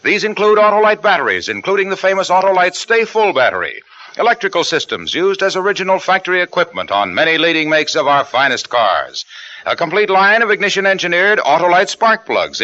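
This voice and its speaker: Bright, lively vintage ad announcer man. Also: a little high. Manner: brisk, lively, emphatic salesman delivery. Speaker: commercial announcer. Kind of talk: 1950s radio advertisement. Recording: muffled old radio broadcast.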